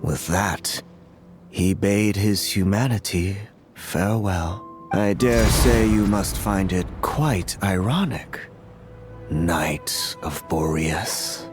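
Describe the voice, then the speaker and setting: An actor playing a mysterious male figure who speaks in a measured, ominous way. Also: low voice. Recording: game audio with music.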